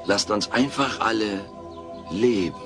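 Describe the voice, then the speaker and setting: A man's voice: lowish voice, takes it slowly, music in the background. A man playing a wisecracking, theatrical alien creature in a German comedy audio play, talking in a pompous, melodramatic way. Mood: solemn. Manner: pompous, melodramatic, mock-philosophical.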